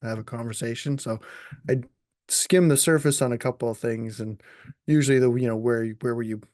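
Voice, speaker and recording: Relaxed guy hosting a podcast, lots of ums and uhs, dead dry close sound. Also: medium pitch, a little fast, no room sound. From New Brunswick, Canada. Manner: easygoing, many ums and uhs, laughs often. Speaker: podcast host. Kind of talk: casual interview chat.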